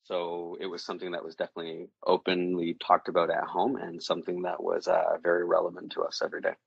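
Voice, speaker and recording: A very deep-voiced man from Chile gives interview answers in a husky tone. His speech is thoughtful and dotted with fillers and restarts. The sound is dry and dull, as over a lo-fi remote line. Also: completely flat delivery, fast talker, dry recording. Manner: thoughtful, many fillers, repeats words. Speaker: guest, creative director.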